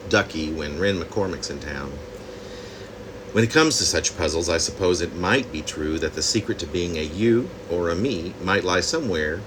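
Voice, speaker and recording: A man reading his own poem reflectively, in deliberate, halting phrases with pauses. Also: low voice, background noise. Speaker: poet reading own work.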